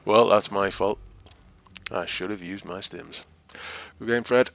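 A man's voice: fairly low pitch, unhurried, fairly bright tone, no room sound. A man thinking aloud and commentating his own strategy game match with wry asides. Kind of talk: casual gameplay commentary. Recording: game music behind the voice. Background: game music.

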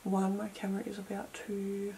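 A woman's voice: mid-range voice, completely flat delivery, moderate pace, warm tone. A young woman in her twenties, chatting casually while tidying her room, rambling with lots of fillers. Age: 20s. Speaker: beauty vlogger. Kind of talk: casual clean-with-me chat. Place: cluttered bedroom beauty room, indoors. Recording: noisy home camera audio.

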